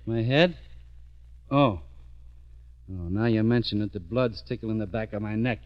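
A man's voice: fairly low pitch, unhurried, no echo, close to an everyday voice. An actor playing a hardboiled police lieutenant who narrates his own case in a dry, clipped, sardonic way, in an old radio drama. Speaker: narrator and detective. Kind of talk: 1940s radio acting. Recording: muffled old radio recording.

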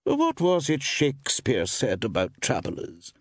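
A man voicing a cool, inquisitive village shopwoman with a slow, deliberate delivery, in an audiobook story. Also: medium pitch, wildly swooping pitch, breathy edge, no room sound, studio quality, voice pushed up, heavily acted. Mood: slightly warmer, curious.

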